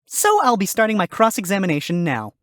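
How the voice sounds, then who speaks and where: A man's voice: high-pitched, very animated, a little fast, fairly bright tone, dry recording. A man doing a sarcastic fox lawyer. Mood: smug. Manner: dry sarcastic quips. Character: smug sly male fox defense lawyer. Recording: music playing under voice.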